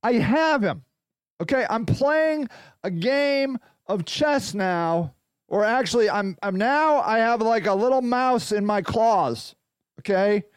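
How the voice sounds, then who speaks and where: A man hosting a podcast in a loud, profane, ranting monologue. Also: sits high, lively intonation, slow pace, clean tone, no room sound, studio quality. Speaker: podcast host. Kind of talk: casual monologue.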